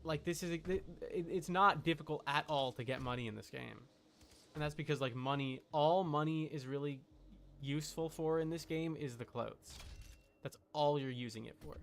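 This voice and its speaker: A man chatting casually while livestreaming a video game, rambling and thinking out loud in an unsure way. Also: on the high side, normal speed, creaky, slight background noise.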